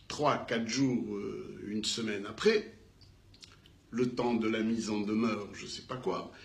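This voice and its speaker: Man with north african french accent, high lively voice, unhurried storytelling. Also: gravelly, reverberant. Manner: lively, acts out dialogue, emphatic repetitions. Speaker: small business owner telling an anecdote. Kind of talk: animated storytelling.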